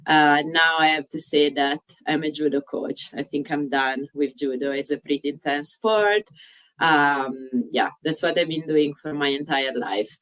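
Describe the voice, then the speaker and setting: A low-voiced woman in her thirties speaks with an Italian accent, sounding a little distant from the microphone. She tells her story as an interview guest, stopping often on "uh" and phrasing things like a non-native speaker. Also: steady tone, fairly bright tone. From Italy. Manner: frequent "uh" pauses, slightly non-native grammar. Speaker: guest, fitness coach. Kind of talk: casual interview chat.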